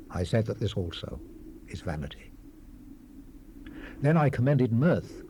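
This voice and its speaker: An actor playing a solemn, world-weary sage, delivering scripture in a grave, oratorical manner with dramatic swells and hushed falls. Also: male voice, mid-range voice, natural voice. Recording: noisy 1970s cassette rip, faint hiss.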